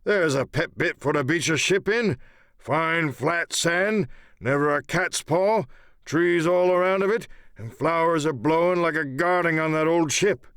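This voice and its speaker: A man voicing a wounded, sly old pirate coxswain with rough rustic sailor's speech, in a dramatised audiobook. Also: fairly high pitch, a little slow, a bit bright, no room sound, studio quality, pitched above the performer's own voice. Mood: sly, instructive. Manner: sly, blunt, commanding.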